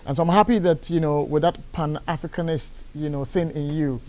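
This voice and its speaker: A man's voice: fairly high pitch, steady tone, fairly quick, darkish tone. A man hosting a live broadcast interview, speaking emphatically and lifting his voice on questions. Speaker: talk show host.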